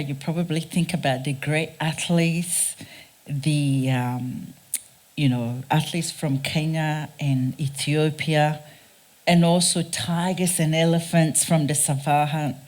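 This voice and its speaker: A woman from Ghana with a Ghanaian accent, giving a prepared public talk in an earnest, deliberate, emphatic manner. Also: sits low, fairly even intonation, a little mellow. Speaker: guest speaker, race scholar. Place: speaking live at a theatre event.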